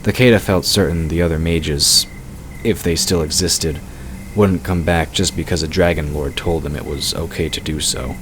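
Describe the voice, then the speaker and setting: Male narrator for scripted fantasy audio drama, deep register, slight background noise. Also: fairly even intonation, normal speed.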